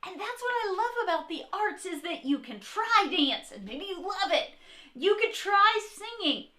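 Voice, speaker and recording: Female children's art teacher for read-aloud: high-pitched, sing-song variety, encouraging, speaks straight to kids. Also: normal speed. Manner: encouraging, expressive, addressing children directly.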